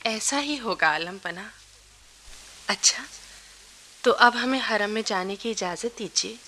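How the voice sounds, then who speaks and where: A woman's voice: mid-range voice, takes it slowly, pushed and bright, a little hiss or hum. A woman playing a proud royal wife in a radio drama, with stately, formal and theatrical speech. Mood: submissive. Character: proud, jealous royal begum, devoted wife. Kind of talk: theatrical Hindi radio-play acting.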